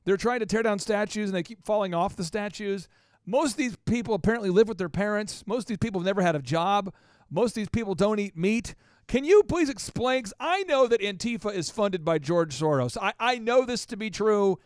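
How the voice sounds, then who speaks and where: A man's voice: high voice, expressive, a little fast, clean tone, no room sound, studio quality. A man hosting an opinionated interview show, speaking emphatically and repeating phrases for insistence. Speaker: podcast host.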